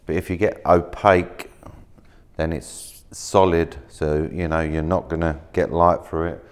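A man in his thirties casually showing materials at a workshop table, talking in a relaxed, rambling way with plenty of fillers and restarts. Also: sits low, a little slow, clean tone. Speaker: maker demonstrating laser-cut materials. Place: workshop, indoors, at a work table.

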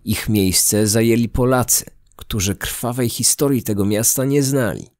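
A man's voice: medium pitch, measured pace, darkish tone, dry recording, studio quality. A man reading scripted true-crime narration as the narrator of a podcast. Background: background music.

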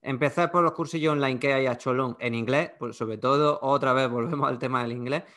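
Bright-voiced Spanish host chiming in. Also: male voice, medium pitch, steady tone, fairly quick. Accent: Spanish (Spain). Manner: agreeable, chiming in. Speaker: event host. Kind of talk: casual online talk.

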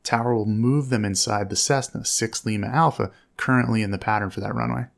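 A man giving measured, instructive commentary on air traffic audio as a narrator. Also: lowish voice, steady tone, gravelly.

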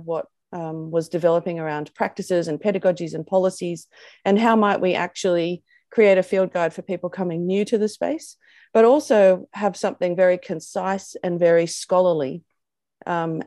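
A woman's voice: lowish voice, mellow, dry recording, studio quality. An Australian woman, an education researcher, giving a thoughtful webinar presentation on a video call, with frequent ums.

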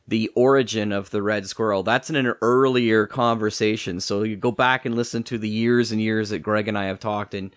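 A man's voice: lowish voice, fairly even intonation, normal speed, hardly any pauses, no echo, clean studio sound. A man hosting a casual interview, talking in a rambling, encouraging way. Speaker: podcast host, interviewer.

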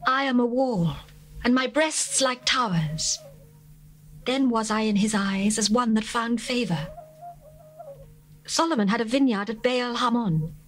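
A woman's voice: on the high side, a little slow, long pauses, slight background noise, lightly acted, rough recording. A woman voicing a longing young bride. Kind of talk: dramatized scripture reading. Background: faint music.

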